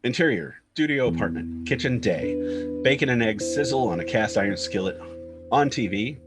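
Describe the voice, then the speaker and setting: A man's voice: mid-range voice, expressive, moderate pace. A man reading screenplay stage directions as narrator, in short clipped scripted phrases. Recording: guitar music bed under the voice.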